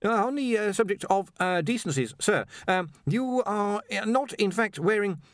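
A man's voice: high-pitched, lively intonation, normal speed, no echo, clean studio sound, voice pushed up. A man voicing a nervous, deferential academic wizard who stammers and hesitates apologetically, in a storybook reading. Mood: nervous, hesitant. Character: nervous, deferential male academic wizard, junior to his chief.

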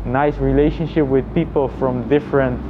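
A man thinking aloud in a casual, reflective personal vlog, with halting phrasing and frequent repetition. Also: mid-range voice, fairly even intonation, highs cut off, background noise. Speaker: vlogger.

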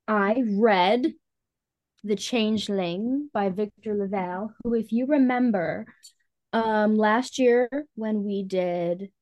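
A woman's voice: medium pitch, darkish tone, dull audio. A woman co-hosting a podcast, talking about books in an informal, conversational style with casual slang. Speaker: podcast co-host. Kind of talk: casual book chat.

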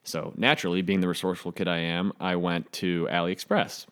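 A man's voice: deep voice, little pitch movement, a little fast, fairly bright tone. A young man co-hosting a podcast, telling a childhood story in a rambling, dramatic way. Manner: rambling, many fillers and restarts, dramatic. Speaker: podcast co-host. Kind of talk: casual storytelling chat.

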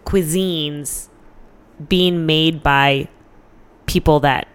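Smooth-voiced American woman, candid and informal. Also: sits low, fairly even intonation, drawn-out words. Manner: candid, informal, swears freely. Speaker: interview guest. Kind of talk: casual chat, telling personal stories.

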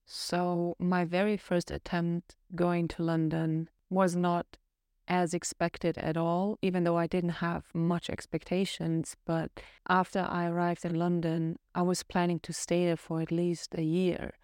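A woman's voice: lowish voice, completely flat delivery, a little slow, darkish tone, clear, resonant tone, no room sound, clean studio sound. A woman from Austria whose first language is German, speaking English on a podcast and telling her own story in a reflective, earnest way. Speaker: podcast co-host. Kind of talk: casual podcast conversation.

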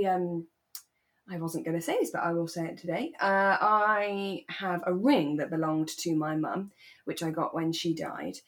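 A woman co-hosting a podcast in relaxed, reflective, conversational chat. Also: medium pitch. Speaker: podcast co-host. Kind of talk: relaxed casual chat about a radio drama.

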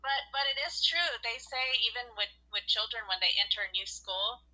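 A woman's voice: a little high, a little fast, pushed and bright, low-quality recording. A middle-aged woman, a mother joining her daughter's podcast for a playful, chatty conversation. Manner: playful, self-aware, chatty. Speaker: host's mother, guest. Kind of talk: casual family chat.

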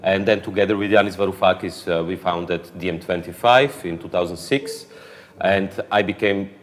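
A man's voice: deep voice, moderate pace, long reverb tail. A man with a Croatian accent, speaking English as a second language in an interview, answering at length with many fillers and restarts. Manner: hesitant, frequent "uh" fillers and restarts. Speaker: interview guest, political activist.

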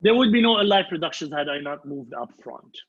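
A man with a notably high, rough-edged husky voice, a native Arabic speaker. He tells anecdotes from his singing career with emphasis, repeating phrases for effect and putting himself down. The sound is somewhat muffled and lo-fi. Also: animated, a little fast. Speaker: band leader and singer recounting his career. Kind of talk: animated anecdotal storytelling in conversation.

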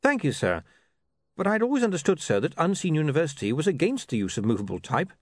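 Man as a polite, higher-pitched earnest scribe. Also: sing-song, fast, no room sound. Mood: polite, questioning.

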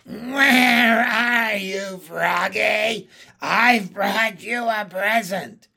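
Storybook witch, old hag acted by a man, high-pitched, shrill, vengeful, taunting, drawn-out words. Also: creaky, bright, forceful tone, full character voice. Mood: mock-sweet taunting.

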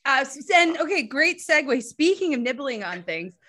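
A woman's voice: sits high, very animated, normal speed, bright, forceful tone. A woman from the United States hosting a podcast, chatting in a playful, cheeky way. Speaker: podcast host. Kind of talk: casual chat.

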